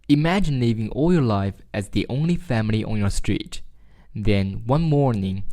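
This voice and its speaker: A man reads scripted passages aloud in a low voice. The tone is dark and relaxed, and the delivery is measured and formal, suited to language exam practice. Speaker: narrator.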